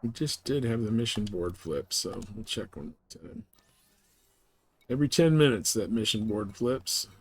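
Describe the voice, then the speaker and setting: A man's voice: on the low side, animated, moderate pace, husky. A man hosting a game livestream, talking through his play in a casual, folksy, slangy way to viewers. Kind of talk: casual gameplay commentary, chatting to viewers.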